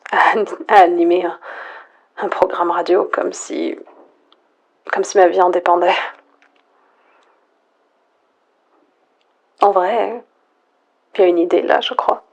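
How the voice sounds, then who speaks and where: A woman acting out the last woman alive in an analog horror radio log, lonely and afraid. Her voice is low, husky and heavily creaky, often sinking into a whisper. She speaks haltingly, as if thinking aloud to herself. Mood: searching, pleading. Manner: halting, introspective, murmured. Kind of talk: hushed monologue, analog horror audio log.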